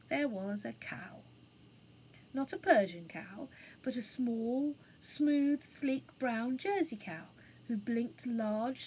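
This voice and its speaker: A woman reading a children's story aloud as an expressive storybook narrator. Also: on the high side, slight background noise, low-quality recording.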